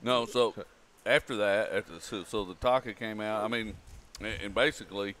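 A man's voice: on the low side, steady tone, moderate pace, fairly bright tone. A man, a podcast guest trading casual, joking banter. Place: large sporting goods store, indoors.

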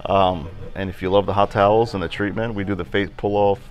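A man's voice: on the low side, brisk. A man in his thirties who owns a barbershop, talking casually with lots of fillers, recorded in his shop. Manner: relaxed, chatty, with many fillers. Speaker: barbershop owner. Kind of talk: casual interview answers. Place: barbershop interior.

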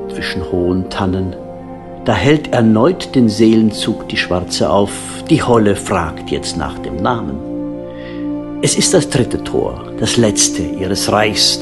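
A man narrates a solemn, poetic story in a fairly low voice. He takes his time, letting phrases stretch out and soften into a breathy hush. New-age instrumental music plays clearly behind him. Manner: hushed, breathy, drawn-out phrasing, trailing into whispers.

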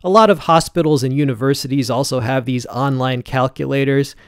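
A man's voice: medium pitch, fairly quick, smooth, no echo, clean studio sound. A man in his forties talking casually to camera from his home gym, blunt and conversational, often tagging his points with "right?". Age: 40s. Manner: blunt, conversational, frequent "right?" check-ins. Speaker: fitness YouTuber.

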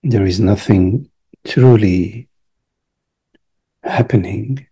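A man guiding a meditation as its teacher, speaking softly and breathily in a hushed, unhurried way with long pauses between short phrases. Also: lowish voice, gravelly, slightly rough. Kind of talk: guided meditation.

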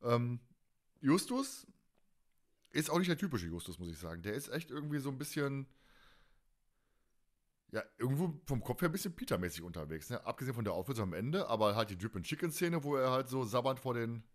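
A man's voice: mid-range voice, sing-song, brisk. A man hosting a casual fan podcast, talking in a colloquial, opinionated and blunt way. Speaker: podcast host.

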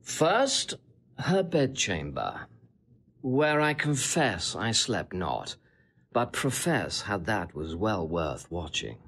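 An actor playing a sly, boastful gentleman seducer in classical Shakespearean audio drama, insinuating and relishing every detail. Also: fairly low pitch, big pitch swings, slow pace, husky, exaggerated voice. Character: sly, boastful Italian gentleman, smooth-tongued seducer taunting a husband.

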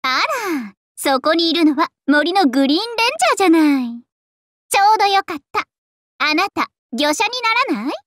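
Super high girly anime game dragon princess, vain and playful, voice swooping everywhere, dry recording. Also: lively intonation, moderate pace, fairly bright tone, no echo. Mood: haughty, teasing. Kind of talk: Japanese anime game character voice lines.

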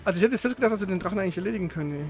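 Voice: medium pitch, animated, racing. A streamer giving casual live commentary on a video game, thinking aloud and asking questions while playing. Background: game music.

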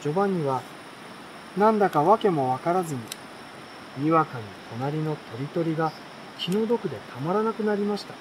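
A young adult man reading a bedtime story aloud in a relaxed, unhurried way, with pauses between short phrases. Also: fairly high pitch, background noise. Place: outdoors at night beside a campfire. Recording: campfire, insects and stream behind voice.

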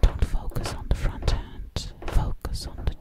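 Slow whispering witch, acted by a woman. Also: lightly acted. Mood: hypnotic, soothing. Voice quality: breathy, close whisper. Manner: slow, hypnotic, repeats words over and over. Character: sly, boastful female witch who takes people as coats. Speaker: ASMR roleplay performer. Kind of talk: ASMR whispered roleplay. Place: small indoor room at a table. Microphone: close to the mic.

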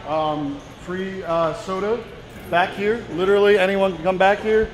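A man's voice: high-pitched, steady tone, a little slow. A man leading a walking tour of a large indoor poker room, explaining the setup in a matter-of-fact way with some fillers. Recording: crowd noise in a big poker room. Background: crowd chatter.

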